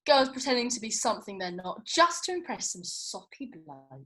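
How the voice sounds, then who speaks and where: Actress as a blunt British young woman, high and animated. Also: normal speed. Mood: indignant. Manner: blunt, colloquial, sweary. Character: blunt, indignant young woman, film-loving Austen festival-goer. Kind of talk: table read of a comedy drama script.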